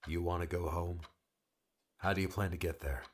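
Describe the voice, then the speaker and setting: Man acting a husky, deep-voiced, brusque rescuer. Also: fairly even intonation, moderate pace. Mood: sardonic, stern. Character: weary, brusque male rescuer, frustrated, secretly caring. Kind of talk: dramatic audiobook character dialogue.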